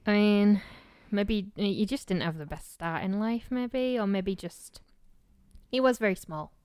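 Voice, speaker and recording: Blunt woman with a softened Yorkshire accent. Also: mid-range voice, unhurried, smooth, no room sound. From Yorkshire, England. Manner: blunt, dry and joking, with casual swearing. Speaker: podcast co-host. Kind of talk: casual true-crime chat.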